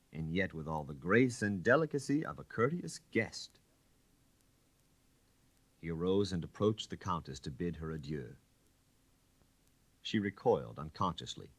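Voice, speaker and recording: Deep-voiced, expressive male storyteller. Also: dry recording. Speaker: audiobook narrator. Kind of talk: dramatic literary narration.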